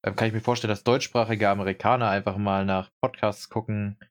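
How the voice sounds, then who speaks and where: A man's voice: on the low side, fairly even intonation, quick pace. A young man from Brandenburg co-hosting a podcast, chatting casually and rambling with many fillers. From Brandenburg, Germany. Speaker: podcast co-host.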